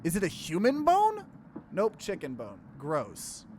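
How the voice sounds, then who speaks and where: A man's voice: sits high, wildly swooping pitch, unhurried, noisy background. A man hosting a let's play, casually commenting and reading game text aloud with expression.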